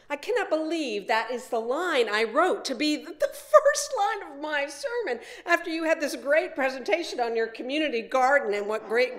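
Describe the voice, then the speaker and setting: A woman's voice: sits high, fairly bright tone. A woman giving a conversational sermon built on personal stories, with self-deprecating humor. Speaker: minister preaching.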